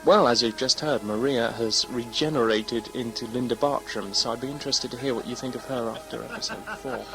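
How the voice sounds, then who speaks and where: A man's voice: medium pitch, fairly quick, hardly any pauses, rough recording. A man presenting in a chatty, scripted style, reading letters aloud expressively as host. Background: music.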